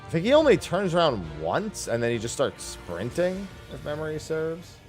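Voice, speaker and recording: A man's voice: a little high, huge pitch swings, moderate pace, soft background music. A man giving casual livestream commentary on a video game, playfully mimicking the characters.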